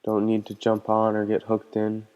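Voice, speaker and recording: A man leading a guided meditation as a teacher, speaking in a calm, gentle, unhurried way with pauses. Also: on the low side, clean tone. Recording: long hall-like reverb.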